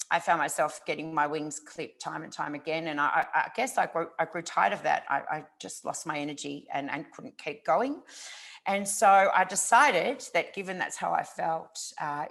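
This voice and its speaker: A woman's voice: sits low, a bit bright. A woman in her forties, born in Ukraine, giving a conversational talk as a startup founder, full of fillers and restarts. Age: 40s. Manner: chatty, with frequent fillers and restarts. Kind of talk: conference talk over a video call.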